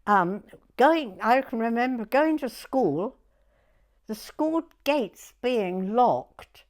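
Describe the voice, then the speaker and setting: Woman in her nineties, southern English accent, unhurried pace, halting delivery, oral history reminiscence. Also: a little high, very animated. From southern England. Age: 90s. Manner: halting, pausing to recollect. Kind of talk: reminiscing in an interview.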